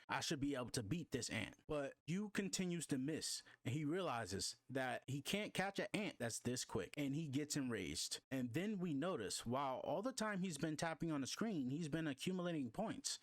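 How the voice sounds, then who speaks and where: A man narrates a story recap in a very animated read-aloud style, his pitch moving freely up and down. The sound is dry and close, with no room around it. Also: no echo.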